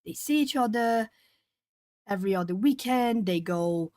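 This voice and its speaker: A woman's voice: medium pitch, lively intonation, a little mellow, no echo, clean studio sound. Brazilian woman chatting on an art stream. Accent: southern Brazilian Portuguese. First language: Portuguese. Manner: chatty, reads chat comments aloud, pauses to think. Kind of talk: casual chat while colouring.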